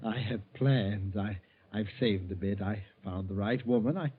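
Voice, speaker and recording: A man's voice: lowish voice, a little slow, lightly acted. Man playing meek scheming bank teller, tinny radio. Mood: meek, deferential. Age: middle-aged. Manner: deferential, hesitant, earnest. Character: meek thrifty bank teller of forty-three, secretly scheming. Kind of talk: 1940s radio acting. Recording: thin, tinny 1940s radio recording.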